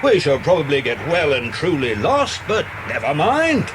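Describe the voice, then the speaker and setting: Hearty British detective, very animated. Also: male voice, moderate pace. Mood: hearty, reassuring. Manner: hearty, avuncular. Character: genial, reassuring male detective, family friend. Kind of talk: children's audio drama acting. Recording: noisy cassette with vehicle rumble.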